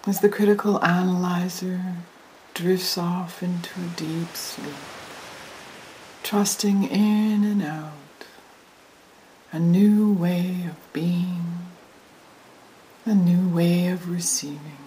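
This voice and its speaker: Female meditation guide: low-pitched, soothing, very unhurried, breathy near-whisper, trance-induction delivery. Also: rough recording. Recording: hissy recording with steady noise.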